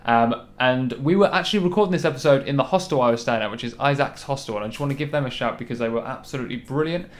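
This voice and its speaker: A man's voice: medium pitch, fast talker, few pauses. Chatty hungover man hosting a podcast. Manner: chatty, lots of ums, laughs easily. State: hungover, a bit croaky. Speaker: podcast host. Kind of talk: casual podcast chat. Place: large room in a hostel. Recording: echoey large room.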